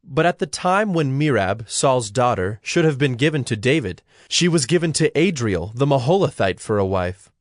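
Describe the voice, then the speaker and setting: A man narrating a dramatized scripture story from a script, in a measured, solemn storytelling manner. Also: medium pitch, sing-song, dry recording, studio quality. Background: background music.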